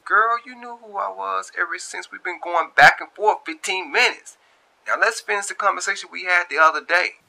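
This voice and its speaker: Man acting a high, insistent young male caller. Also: normal speed, vocal fry, bright, forceful tone, exaggerated voice. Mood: exasperated. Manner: insistent, exasperated. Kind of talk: amateur storytelling.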